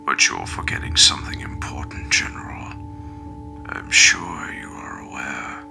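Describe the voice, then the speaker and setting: Creepy old alien overlord, whispery and slow, super gravelly, comic dub with music behind. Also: male voice, rumbling low, very animated, heavily acted. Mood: ominous, calm. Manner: slow, menacing, deliberate. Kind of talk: fan comic dub acting.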